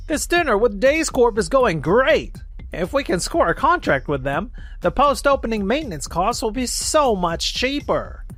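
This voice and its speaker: A man's voice: high-pitched, fairly bright tone. A man voicing an arrogant, careless male manager in a comic dub, blustering and dismissive. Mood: smug, irritated. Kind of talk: scripted manga dub voice acting.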